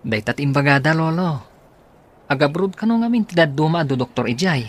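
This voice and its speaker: An actor playing an affectionate, cheerful young graduate in a radio drama, speaking eagerly and warmly. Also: male voice, a little high, expressive, brisk. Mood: caring. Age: young adult.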